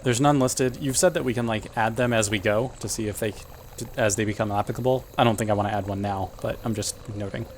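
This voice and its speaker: A man's voice: lowish voice, steady tone, fast talker, slight background noise. A man playing a tabletop role-playing game, talking casually as he works through his moves.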